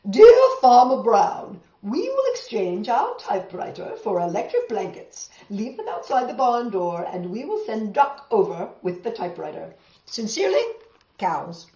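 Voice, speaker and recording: A woman voicing bold farm cows on strike in a children's storybook, reading out their demands loudly and emphatically. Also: mid-range voice, big pitch swings, unhurried, reverberant, highs cut off. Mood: firm bargaining. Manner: loud, emphatic, declarative letter-reading. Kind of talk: children's storybook read-aloud.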